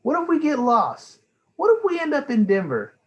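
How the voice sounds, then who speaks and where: A man voicing an excitable, fretful young girl in a storybook, full of eager, pestering questions. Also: very high-pitched, normal speed, muffled recording, pitched above the performer's own voice, heavily acted. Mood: fretful, questioning.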